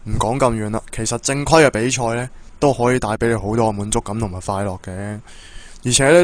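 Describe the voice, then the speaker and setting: A man playing an earnest, encouraging school football coach in a radio drama, speaking Hong Kong Cantonese in a measured, persuasive way. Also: mid-range voice, lightly acted. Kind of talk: Hobby radio drama acting. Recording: music bed under the dialogue.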